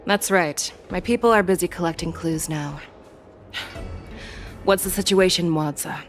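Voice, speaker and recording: A woman performs a seasoned female general for a video game. Her voice sits fairly low, composed and commanding, and she explains tactics in a measured way over faint music. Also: natural voice. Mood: brisk, in command. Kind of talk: dramatic video game voice acting.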